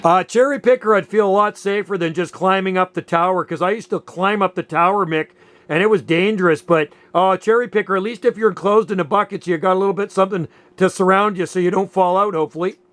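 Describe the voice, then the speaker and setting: A man's voice: high voice, fast, noisy background. A man in his late fifties chatting casually as a radio operator, with folksy, easygoing phrasing. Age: late 50s. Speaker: amateur radio operator and channel host. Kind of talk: casual two-way radio chat.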